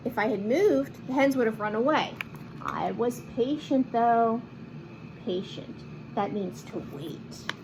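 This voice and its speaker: A woman reading a picture book aloud to children in an expressive, animated manner, with chatty asides and simple explanations. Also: on the high side, noisy background. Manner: animated, acts out lines and explains words to children. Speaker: children's read-aloud host.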